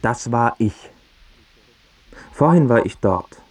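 An actor playing a confused, dying man with failing memory, husky and stubbornly repetitive, in a German radio drama. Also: mid-range voice, expressive, slow pace, a little mellow, slight background noise. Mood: reflective, resigned.

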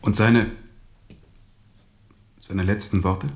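An actor playing a probing, sceptical listener who questions a captain in a radio play. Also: male voice, lowish voice, steady tone, extremely slow, reverberant. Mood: insistent.

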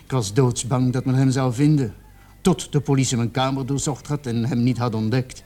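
An actor in a radio drama playing a cornered, remorseful young male murder suspect who confesses in a halting, defensive way. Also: mid-range voice, fairly even intonation, mellow, close to an everyday voice. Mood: defensive, explaining.

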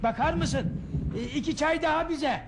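A man's voice: very high voice, normal speed, noisy background. An actor playing a familiar, enthusiastic friend, a jovial man in a radio play. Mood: eager. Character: chummy, enthusiastic older male friend and bicycle enthusiast. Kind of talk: Turkish radio theatre acting.

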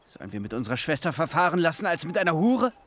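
A man's voice: a little high, big pitch swings, fast, fairly bright tone. A man voicing the defiant, angry young sons who protest to their father.

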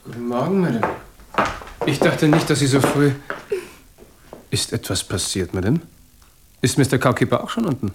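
A man playing a deferential, composed manservant in a radio drama, speaking formally and politely in measured phrases. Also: medium pitch, animated, a little hiss or hum, close to an everyday voice. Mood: politely surprised. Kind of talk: German radio drama acting.

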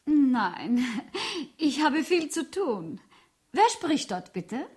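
A woman's voice: high voice, big pitch swings, very slow, a little mellow, exaggerated voice. An actress in a 1970s German radio drama playing a wary young female fashion designer answering the telephone. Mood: polite, wary.

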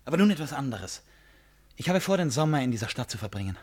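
Quick, animated, probing gentleman. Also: medium pitch, slightly rough. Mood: pleased, brisk. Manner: courteous, questioning, insinuating. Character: suave, probing, eccentric gentleman, generous and insistent. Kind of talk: German radio drama acting.

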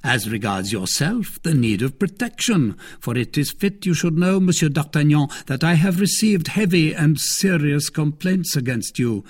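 A man voicing a shrewd, commanding cardinal in a novel, formal and measured, persuasive with a condescending edge. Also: a little high, lively intonation, voice pushed up. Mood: pressing, stern. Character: grand, shrewd cardinal, commanding male statesman, persuasive and disdainful.

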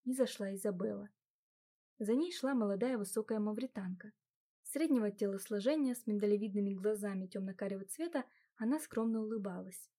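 A woman narrating an audiobook in an even, unhurried reading. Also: medium pitch. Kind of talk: scripted narration.

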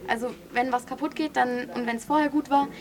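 A woman's voice: high-pitched, steady tone, fairly quick, crowd noise, close to an everyday voice. An actress playing an insistent woman in a conversational radio drama, pressing on in short bursts. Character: insistent woman probing a broken relationship.

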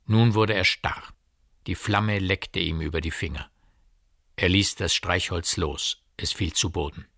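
A man narrating a literary radio drama in a precise, measured and dramatic storytelling manner. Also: low-pitched, steady tone, creaky, pushed and bright, no room sound, clean studio sound.

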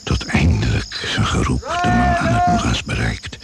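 An actor as the grave narrator of a radio mystery, reading in a measured, poetic, suspenseful manner. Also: male voice, very deep voice, wildly swooping pitch, gravelly, exaggerated voice. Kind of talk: scripted radio play narration. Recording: noisy old radio play recording.

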